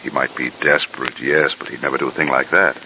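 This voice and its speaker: A nervous, loyal shop assistant in an old radio drama. The voice is extremely deep yet bright and tense, hesitating and stammering over phrases. A slight vintage hiss sits on the recording. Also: male voice, steady tone, fast, vocal fry, full character voice. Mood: anxious, protective. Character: anxious, loyal male store assistant. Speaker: supporting radio actor. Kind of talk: 1950s radio drama acting.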